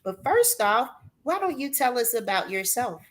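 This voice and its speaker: A woman hosting a live talk show, loudly hyping her audience and calling guests "queen". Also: fairly high pitch, very animated, normal speed. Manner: hypes the audience with "y'all" and "queen".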